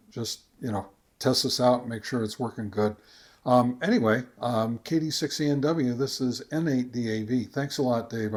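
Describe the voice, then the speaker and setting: A man hosting a casual how-to video, talking informally and somewhat haltingly as he explains each step. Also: mid-range voice, a little mellow. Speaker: host of a ham radio how-to channel. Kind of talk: casual tutorial narration.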